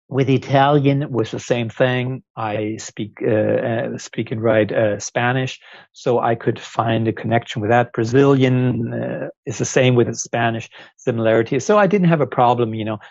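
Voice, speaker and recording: A man in his forties with a German accent, a guest author speaking in a casual video-call interview, thoughtful and halting with many ums. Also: mid-range voice, steady tone, vocal fry, a little mellow, dry recording, highs cut off. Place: home office, indoors. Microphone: earphone headset on video call.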